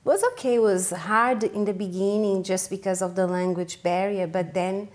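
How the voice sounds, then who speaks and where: A woman's voice: medium pitch, fairly even intonation, normal speed, a little mellow. A woman talk show guest recounting her experiences in an easygoing way. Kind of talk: casual chat.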